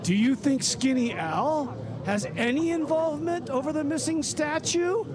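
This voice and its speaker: A man's voice: very high voice, normal speed, crowd noise. A man playing a folksy, mock-grave news reporter with an announcer's delivery in a comedy radio play. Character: folksy male TV news reporter on a crime scene.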